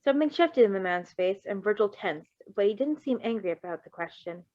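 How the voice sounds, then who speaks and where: Female story reader, expressive narration, playful commentary. Dry, slightly lo-fi recording. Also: mid-range voice, fairly quick, no room sound. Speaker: fan fiction reader.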